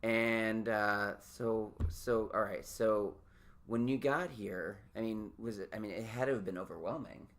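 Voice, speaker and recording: A man's voice: lowish voice, measured pace, fairly bright tone. Male podcast host, casual and self-mocking, rambling with jokes. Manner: rambling, self-deprecating, joking. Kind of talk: casual interview chat.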